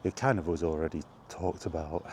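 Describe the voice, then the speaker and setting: Middle-aged man, deep mellow voice, casual rambling vlog, offhand, outdoors with faint background noise. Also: fairly quick. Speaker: video game vlogger. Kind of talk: casual rambling chat while walking. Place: outdoors, walking toward a park.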